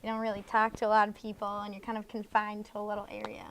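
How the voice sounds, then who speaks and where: A woman's voice: mid-range voice, monotone, quick pace, poor audio. A woman from Minnesota on a staff debate panel, chatty and agreeable. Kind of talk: casual debate.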